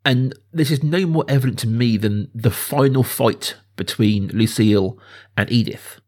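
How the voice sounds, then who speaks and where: A man's voice: mid-range voice, moderate pace, a little mellow. A man in his thirties hosting a casual film discussion, speaking enthusiastically and emphatically, building points through repetition. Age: 30s. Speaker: film podcast host.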